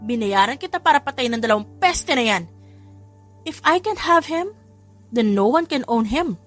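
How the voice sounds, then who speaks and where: A woman's voice: a little high, lively intonation, normal speed, a bit bright. A woman voicing a deranged, impatient and menacing villainess in an audio drama, with music behind. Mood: furious, menacing.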